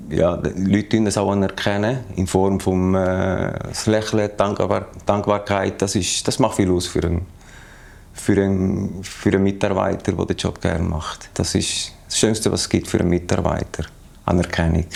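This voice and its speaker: A man's voice: sits low, gravelly, a little mellow. A man in his fifties with a Swiss German accent, a postal worker speaking earnestly and repeating words as he searches for them. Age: 50s. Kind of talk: documentary interview.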